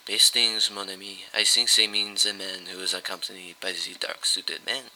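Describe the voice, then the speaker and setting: A man voicing an excitable, fussy Belgian detective. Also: low voice, normal speed, full character voice, low-quality recording. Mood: insistent. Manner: fussy, insistent.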